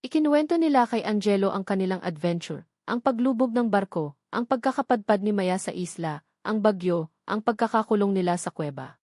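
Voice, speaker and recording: Female narrator for a romance audiobook, measured and sentimental, medium-pitched, recorded dry. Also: darkish tone, dry recording. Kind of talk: scripted romance story narration.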